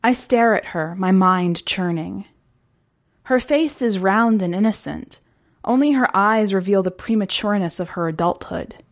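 A woman narrates a short story for a podcast. Her delivery is slow and deliberate, with a medium-pitched voice and measured phrasing. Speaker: podcast host and story reader.

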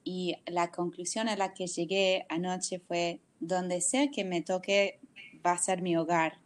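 American woman in her 40s, slow and thoughtful on a video call, lowish voice, lots of little pauses. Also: flat delivery. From United States. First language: English. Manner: thoughtful, searching for words with many pauses. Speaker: guest. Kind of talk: reflective video call conversation.

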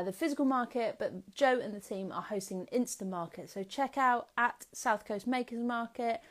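A woman with a slightly high voice hosts a casual live stream from her phone. She rambles along chattily, filling gaps with ums as she talks to her viewers. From England. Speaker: host of an Instagram Live interview. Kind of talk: casual livestream chat. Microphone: phone or laptop on a live stream.

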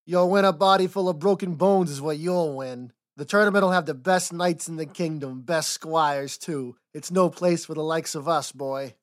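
A woman acts out a sour old knight from a storybook. He is a blustering, cowardly old man who talks in a rough, folksy way, pitched below the narrator's voice. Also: moderate pace, hardly any pauses, lightly acted. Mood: grumbling, dismissive. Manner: blustering, rustic folksy grammar. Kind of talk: storybook character voice.

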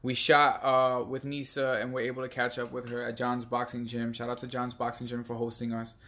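Male podcast host, mid-pitched, upbeat and chatty. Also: monotone. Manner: upbeat, gives shout-outs, slows for emphasis. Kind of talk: casual podcast chat.

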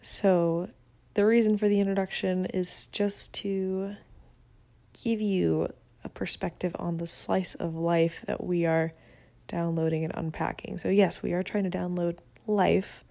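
A young woman from Venezuela chats upbeat and a little self-mocking as she hosts her own podcast. Her voice sits low and warm with a gravelly edge of fry. The sound is dry and close, caught on a phone app rather than a real mic. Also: flat delivery, unhurried, no echo. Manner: chatty, upbeat, self-deprecating. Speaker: podcast host. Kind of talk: casual solo podcast monologue. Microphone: phone app, no proper mic.

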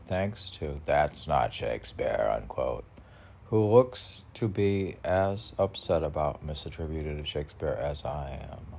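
A man rambling through a podcast of chat and poetry reading, halting and often talking to himself in questions and answers. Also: low-pitched, gravelly, a little mellow. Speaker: poet reading and chatting on own podcast.

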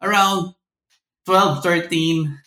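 A man's voice: sits high, steady tone, drawn-out words, pushed and bright. A middle-aged man from the Philippines with a Filipino accent, telling his own story conversationally as a podcast guest. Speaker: seminary professor, podcast guest. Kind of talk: conversational personal storytelling in an interview. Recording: roomy, echoey sound.

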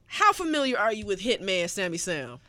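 A woman's voice: medium pitch, brisk, no echo. A woman hosting a podcast, talking casually and animatedly about music, with frequent fillers. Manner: animated storytelling, many fillers. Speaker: podcast host.

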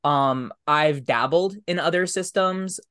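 A man's voice: a little high, slow pace, fairly bright tone, smooth. A man hosting a podcast interview, talking casually in a thoughtful, reflective way. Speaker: podcast host.

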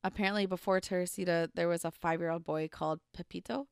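A woman's voice: lowish voice, fairly even intonation, quick pace, clear, resonant tone, no echo, clean studio sound. A young woman co-hosting a podcast, casually telling a true crime story with lots of "like" and "um" fillers. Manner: chatty, full of "like" and "um" fillers. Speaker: podcast co-host.

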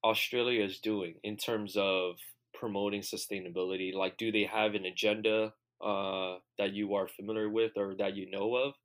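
Guy hosting an interview, kinda low voice, super encouraging, rambles a bit. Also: little pitch movement, normal speed, hardly any pauses, a bit bright. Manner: encouraging, enthusiastic, rambling questions. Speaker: host. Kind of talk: casual interview conversation.

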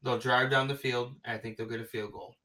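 An excitable man hosts a casual sports chat, gushing over his picks and tripping into restarts as he talks. His voice sits in a middle range. He sounds set back a little from the microphone. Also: mid-range voice, brisk, far from the mic, low-quality recording. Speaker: podcast host.

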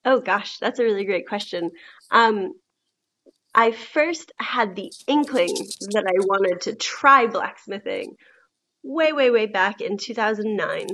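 A woman's voice: mid-range voice, expressive, unhurried, fairly bright tone, a little hiss or hum. A woman who teaches blacksmithing, giving friendly, somewhat hesitant answers as a podcast guest. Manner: friendly, hesitant, many ums. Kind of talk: interview answers.

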